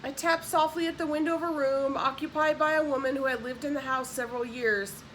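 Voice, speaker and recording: A woman's voice: high-pitched, fairly even intonation, fairly quick, fairly bright tone, a little hiss or hum, poor audio. A woman narrating an audiobook expressively, with casual explanatory asides.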